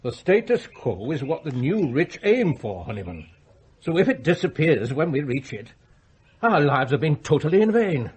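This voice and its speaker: A man's voice: on the high side, big pitch swings, normal speed, slight background noise. An actor playing a rich, lordly older English magnate in a radio play, pompous and pontificating to his servant.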